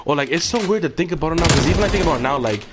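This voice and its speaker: A man's voice: fairly high pitch, lively intonation, very fast, heavy background noise. A young man streaming, chatting casually and crudely over voice chat while gaming, mocking and trash-talking the other players.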